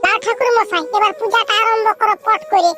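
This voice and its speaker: Nagging village auntie, super high pitched-up cartoon voice, purulia bengali accent, music behind. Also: female voice, fairly even intonation, a little fast. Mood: nagging, bargaining. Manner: sharp-tongued, bargaining. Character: sassy, nagging, thrifty village woman. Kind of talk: comic village cartoon dialogue.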